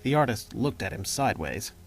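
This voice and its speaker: A man's voice: fairly low pitch, big pitch swings, brisk. A man reading scripted narration as the storyteller of an audio drama. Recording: music behind the voice.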